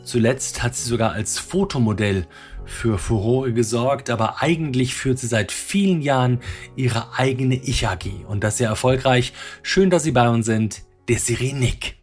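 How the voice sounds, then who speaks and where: A man hosts a podcast and speaks in a measured, formal presenter's manner. His pitch sits in a middle range. Faint music plays behind him. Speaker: podcast host. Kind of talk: scripted intro and interview questions.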